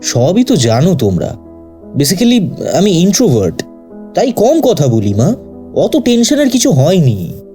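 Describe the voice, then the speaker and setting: An actor playing a reserved, courteous doctor who chooses words hesitantly in an audio drama. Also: male voice, high-pitched, wildly swooping pitch, a little slow, dark tone, heavily acted. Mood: reassuring. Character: reserved, polite male doctor, someone's grandson, explaining himself. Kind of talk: audio story acting. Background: background music.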